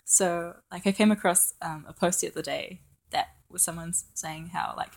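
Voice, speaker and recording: A woman's voice: on the low side, fairly even intonation, normal speed, gravelly. Playful young woman podcaster. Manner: playful, laughs a lot, acts out quotes. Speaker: podcast co-host. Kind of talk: casual chat.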